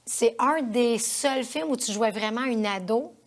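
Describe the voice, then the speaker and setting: A woman's voice: on the high side, unhurried. A woman with a Quebec French accent interviewing a guest as host of a TV show, in a conversational studio style.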